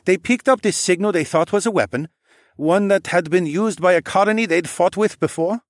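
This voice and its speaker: A man's voice: sits high, moderate pace, no room sound, studio quality, voice pushed up, close to an everyday voice. A man voicing a curious, impatient younger Korean man in an audiobook. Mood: puzzled.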